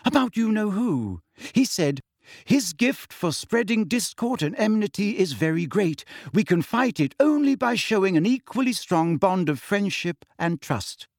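A man voicing a bossy, earnest teenage schoolgirl in an audiobook, crisp and insistent, with dignity. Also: high-pitched, very animated, a little slow, no echo, clean studio sound, pitched above the performer's own voice, full character voice.

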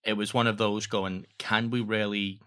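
Low, bright-voiced man, halting delivery. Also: level tone, clean tone. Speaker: podcast guest, audio drama producer. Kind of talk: conversational anecdote.

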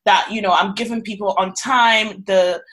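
A woman's voice: mid-range voice, fairly even intonation, moderate pace, fairly bright tone. A woman chatting casually and animatedly with friends, swearing and laughing easily. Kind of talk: casual chat among friends.

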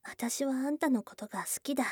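A woman voicing a shy, tomboyish young goblin girl in an intimate ASMR voice drama, soft and breathy, often dropping to a whisper, close to the microphone. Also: high-pitched, fairly quick, no echo. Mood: tender, earnest. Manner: blunt tomboyish speech, tender and hesitant. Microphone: close, dry studio microphone.

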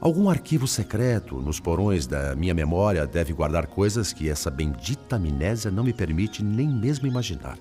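An actor with a Brazilian accent playing a weary, self-doubting spirit in a radio drama, earnest and melancholy in delivery. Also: male voice, deep voice, very animated, normal speed, few pauses, dark tone, faint music behind. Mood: troubled, frustrated.

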